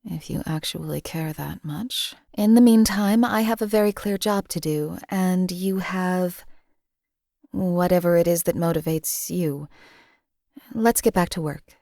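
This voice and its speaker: An actress voicing a detached female assassin companion in a video game, with deadpan, measured, emotionally flat delivery. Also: fairly low pitch, vocal fry, no echo, studio quality. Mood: coolly detached.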